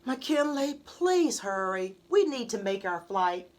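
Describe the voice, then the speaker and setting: A woman's voice: on the high side, sing-song, slow. A woman voicing a firm, no-nonsense mother of young children in a children's storybook. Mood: hurried. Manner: instructing, firm. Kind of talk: children's storybook read-aloud.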